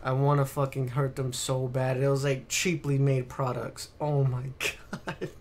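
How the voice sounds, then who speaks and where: A man's voice: medium pitch, flat delivery, unhurried. A man co-hosting a casual gaming podcast, explaining things in an informal, sweary way. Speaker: podcast co-host.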